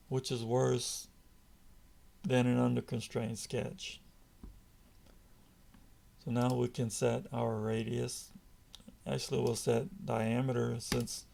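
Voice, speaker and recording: A man with a raspy voice, calmly walking through a software tutorial over a screen recording. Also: mid-range voice. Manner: slow, measured, patient explaining. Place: indoors at a computer, voice-over on screen capture.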